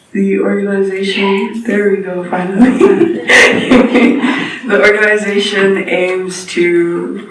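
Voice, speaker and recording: A young man from South Africa speaks in a fairly high but flat voice, delivering an earnest presentation and slowing down to stress key points. He sits a little way from the mic in an echoey room, with a faint ambient hum behind him. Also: distant mic. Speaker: intern giving a presentation. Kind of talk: informal talk at a panel event.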